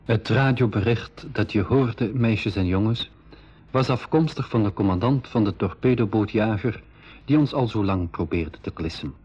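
A man narrating an adventure to young listeners in measured, scripted school radio style. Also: fairly low pitch, darkish tone, slightly rough. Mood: measured, dramatic. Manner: measured storytelling. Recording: dull old radio recording.

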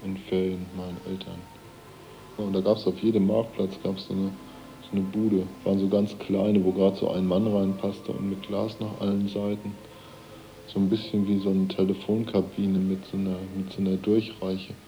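A man's voice: sits low, little pitch movement, moderate pace, dull audio. A man chatting casually as he looks back on his own memories. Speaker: interviewee. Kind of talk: casual chat, reminiscing.

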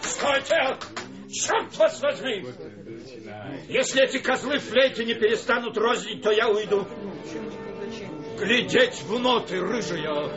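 A woman playing a furious, tyrannical orchestra conductor in a radio play, shouting imperiously and in exasperation at the musicians. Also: fairly high pitch, slow, long pauses, dull audio, music in the background. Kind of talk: theatrical radio play acting.

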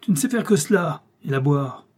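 A man voicing a gruff, hot-tempered, hard-drinking old Russian general in an audiobook, blustering and barking his orders. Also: mid-range voice, normal speed, a little mellow, natural voice. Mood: furious, scornful. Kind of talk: audiobook character dialogue.

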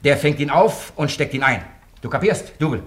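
An actor playing an impatient, sarcastic recording director who coaxes and commands in a German radio play. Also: male voice, fairly high pitch, expressive, normal speed, lightly acted. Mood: bossy, impatient. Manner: commanding, sarcastic, stop-and-start coaxing. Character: impatient, mocking male recording director, cajoling and bossy. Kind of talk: German radio drama acting.

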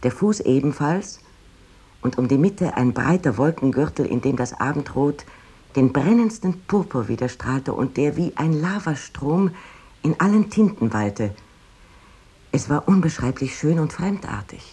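A woman's voice: deep voice, expressive, unhurried, mellow, breathy edge, full character voice. An actress playing a wry, rapturous woman poet of the 19th century, reading letters expressively in a radio play.

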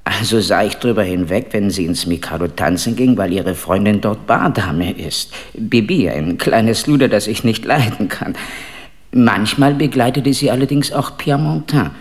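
A man's voice: on the low side, moderate pace, close to an everyday voice. An actor playing a middle-aged Frenchman accused of a crime in a German radio play, defensive, intimate and emphatic. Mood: confiding, resigned. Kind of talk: German radio drama acting.

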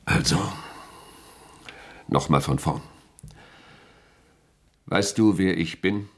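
An actor playing an impatient, domineering state security chief in a radio play, speaking in an insistent, irritable manner. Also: male voice, deep voice, drawn-out words, vocal fry, heavily acted. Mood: impatient, demanding. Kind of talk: German radio play acting.

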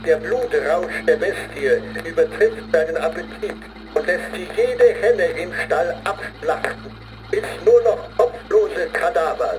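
A solemn fanatic in a radio play, reciting grim doctrine in a somewhat high voice. His delivery is deliberate and incantatory, with music clearly running underneath. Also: low-quality recording. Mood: solemn, ominous. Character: man reciting a zealous, grim training manual on a demonic fox.

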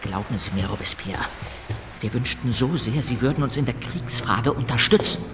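An actor playing a conciliatory politician, formally urging and entreating, in a radio drama. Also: male voice, medium pitch, normal speed, music in the background, natural voice. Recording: echoey hall with noisy ambience.

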